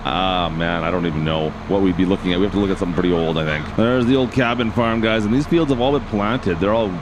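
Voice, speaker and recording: A man with a hoarse, raspy voice casually commenting as he plays a farming game, thinking aloud and talking to his viewers. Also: low-pitched, fast, noisy background. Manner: chatty, thinks aloud, addresses viewers as guys. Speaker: game channel host.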